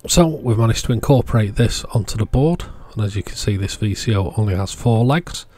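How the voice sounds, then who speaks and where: A man's voice: lowish voice, expressive, brisk, darkish tone. A man giving a casual, plain-spoken voice-over, explaining hobby electronics as the channel's presenter. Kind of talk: casual product showcase voice-over.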